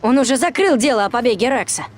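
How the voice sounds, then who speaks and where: A woman's voice: on the high side, expressive, fast talker, slight background noise, close to an everyday voice. A performer voicing a chatty, nervous male desk cop in a video game dub.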